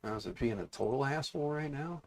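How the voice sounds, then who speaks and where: A man hosting a casual livestream, congested and raspier than usual, rambling and repeating himself as he talks to his chat. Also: mid-range voice, fast talker, a little mellow. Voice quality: raspy, congested. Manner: mild swearing, offhand. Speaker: livestream host.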